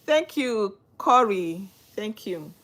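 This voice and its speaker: A woman's voice: medium pitch, lively intonation, takes it slowly, a bit bright. A young adult woman from Nigeria with a Nigerian accent, hosting a live stream, asking earnest rhetorical questions and greeting viewers by name. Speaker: livestream host on legal topics. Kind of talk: live-stream talk answering viewer comments.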